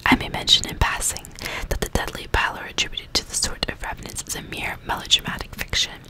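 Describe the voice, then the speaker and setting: A woman whispering softly and breathily into a close microphone, ASMR style, reading a book and chatting intimately in asides. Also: fast. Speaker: ASMR reader and commentator.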